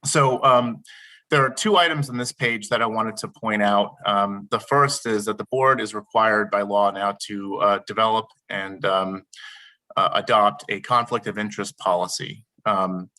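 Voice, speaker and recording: A middle-aged man presenting a report at a board meeting over a video call, slightly raspy from a summer cold, hesitant with many ums. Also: lowish voice, sharp tone. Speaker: government affairs director.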